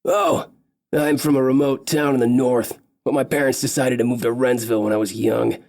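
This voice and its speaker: A man's voice: mid-range voice, lively intonation, moderate pace, gravelly, a little mellow. A man voicing a cheerful, boisterous big male elf, a former lumberjack. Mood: jovial. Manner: jovial, boisterous.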